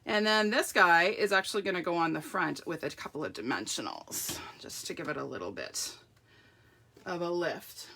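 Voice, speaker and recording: Croaky-voiced woman demoing card crafts. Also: lowish voice, animated, normal speed, bright, forceful tone. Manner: chatty, self-deprecating, talks while working with hands. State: croaky sore throat. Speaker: card-making demonstrator. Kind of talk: live craft tutorial with viewer shout-outs.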